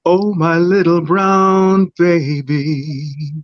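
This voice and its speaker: An actor playing a tender father figure who blesses his baby girl in slow, half-sung words, over a video call. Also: fairly high pitch, steady tone, dry recording. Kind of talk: improvised playback theatre. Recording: dull lo-fi call audio.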